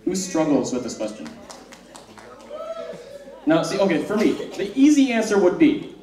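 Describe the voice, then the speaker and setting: A man's voice: sits high, very animated, moderate pace, warm tone. Young man on stage, wry and self-mocking with hesitant restarts, in a roomy hall. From born in South Korea, raised in the US. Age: young adult. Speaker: student speaker. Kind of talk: personal spoken-word talk on stage. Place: stage in a hall with a live audience. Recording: roomy hall, mic from far off.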